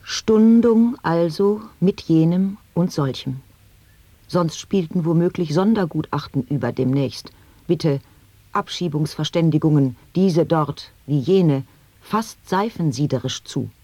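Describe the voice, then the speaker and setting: Slow, deliberate woman reciting wordplay. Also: low voice, dark tone, no room sound. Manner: slow, deliberate word-by-word delivery. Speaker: reader in a radio play. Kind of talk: recitation of experimental sound poetry.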